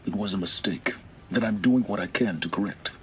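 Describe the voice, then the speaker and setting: An actor playing a secretive, self-serving middle-aged man who guides with measured, cryptic authority in a video game. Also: fairly low pitch, a bit bright, a little hiss or hum, lightly acted. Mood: guarded, imperious. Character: secretive, commanding male informant serving his own interests. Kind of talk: dramatic video game acting. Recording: music behind the voice.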